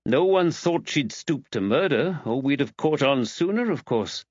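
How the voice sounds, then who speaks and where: A man's voice: on the high side, expressive, measured pace, darkish tone, no room sound, lo-fi sound, voice pushed up. A man voicing a knowing, gossipy village woman in audiobook dialogue, wry and matter-of-fact.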